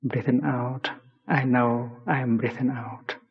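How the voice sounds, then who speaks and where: A man leading a guided mindfulness meditation, speaking gently and deliberately in short phrases with long pauses between them. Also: mid-range voice, little pitch movement, husky. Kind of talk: guided meditation talk to a community. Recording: muffled recording in a long-reverberant hall.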